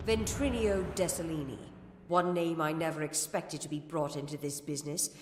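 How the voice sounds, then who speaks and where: Woman as a stern, low-voiced Templar commander. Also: music in the background, lightly acted. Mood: stern, businesslike. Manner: formal, measured, authoritative. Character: stern, formal female gnome Templar commander. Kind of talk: scripted fantasy game dialogue. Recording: echoey reverberant hall.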